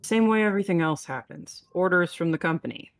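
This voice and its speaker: A woman's voice: on the low side, brisk, natural voice. A woman playing a weary, matter-of-fact spaceship captain with a terse, resigned delivery in a sci-fi table read. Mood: resigned, defensive.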